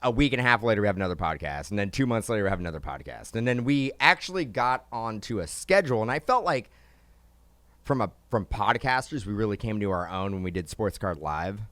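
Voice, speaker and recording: A man's voice: lowish voice, animated, quick pace, a bit bright, no room sound. A man co-hosting a podcast in a studio, rambling and restarting, filling his talk with "like" and "um". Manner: rambling, restarts often, lots of "like" and "um". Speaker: podcast co-host. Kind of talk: casual hobby podcast chat.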